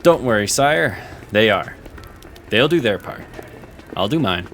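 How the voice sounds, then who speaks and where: An actor playing a loyal, quick-witted inquisitor in a fantasy audio drama, composed and deferential, with clipped delivery. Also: male voice, fairly low pitch, expressive, fairly bright tone, slight background noise.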